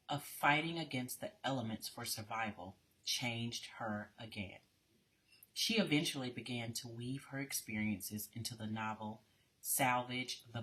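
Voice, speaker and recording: An adult reading a biography aloud in a measured, deliberate way. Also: mid-range voice, sharp tone, rough recording.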